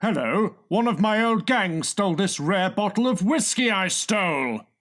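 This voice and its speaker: A man's voice: high-pitched, lively intonation, a little slow, a bit bright, voice pushed up. A man voicing a pompous, exasperated gang leader with blustering, emphatic delivery in a comic audiobook. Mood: scolding. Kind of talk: comic audiobook character reading.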